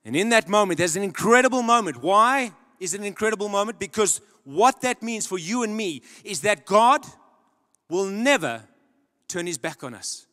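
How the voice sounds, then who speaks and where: A man's voice: animated, moderate pace. Young male preacher, echoing church hall. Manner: dramatic, builds to loud emphatic peaks then drops low. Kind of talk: sermon. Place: large church stage. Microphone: handheld mic. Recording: long church hall reverb.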